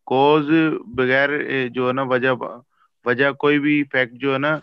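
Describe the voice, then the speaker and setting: Smooth-voiced man, dull audio. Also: mid-range voice, level tone, slow, dry recording. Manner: deferential, keeps saying sir. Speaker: student. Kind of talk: explaining a point in an online class. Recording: dull online meeting audio.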